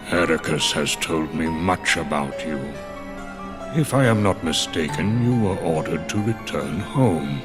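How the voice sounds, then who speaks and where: Wise old male sorcerer master, extremely deep, slow, grave and formal, music behind. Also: expressive. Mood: stern. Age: older. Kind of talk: animated game cutscene acting.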